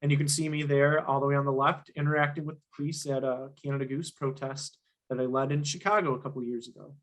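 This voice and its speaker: A young man giving an instructional workshop presentation, earnest and emphatic, with frequent ums and restarts, his voice slightly hoarse. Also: medium pitch, fairly even intonation, fast talker, rough recording.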